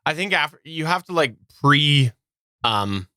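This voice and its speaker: A man's voice: on the high side, lively intonation, a little slow, bright, forceful tone, no echo, studio quality. A man hosting a podcast, talking in casual, joking, conversational banter. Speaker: podcast host.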